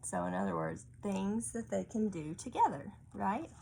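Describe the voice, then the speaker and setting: A woman's voice: medium pitch, animated, normal speed, gravelly, warm tone. A woman reading a picture book aloud to a child, often stopping to ask coaxing little questions. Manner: asks coaxing tag questions of a child listener. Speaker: storybook reader. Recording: faint background room noise.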